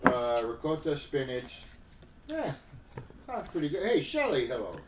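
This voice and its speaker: Very deep-voiced woman, lively teasing. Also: measured pace, a crowd in the background. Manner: playful teasing, greets viewers by name. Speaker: co-host of a live collectibles show. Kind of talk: casual live-stream chat, greeting viewers. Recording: background noise with clicks.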